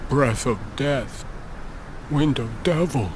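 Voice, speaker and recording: A man's voice: mid-range voice, slow pace, noisy background, close to an everyday voice. An actor playing a superstitious, simple-minded and pitiful man in a video game, pleading in broken English. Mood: terrified.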